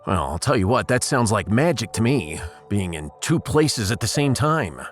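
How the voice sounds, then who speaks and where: A man's voice: on the low side, sing-song, moderate pace, soft background music. A man voicing a plainspoken, skeptical starship captain in a science fiction audiodrama, dry and incredulous.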